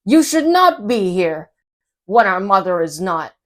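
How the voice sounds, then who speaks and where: A woman's voice: mid-range voice, very animated, a little slow, a bit bright. A woman voicing a fussy, indignant male pet fish in a children's storybook, scolding in exclamations.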